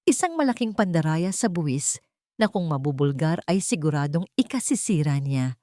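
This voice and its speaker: A woman's voice: sits low, very animated, moderate pace, dark tone, no echo, clean studio sound. A woman voicing a powerful older billionaire father in an audio story, grave and confessional, full of regret and protectiveness. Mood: grave, confessing.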